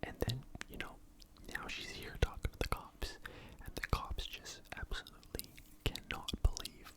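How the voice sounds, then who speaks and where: An ASMR host whispering a true crime story to the microphone in a casual, rambling way, often saying "like" and "you know.". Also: moderate pace. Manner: soft unvoiced whisper throughout.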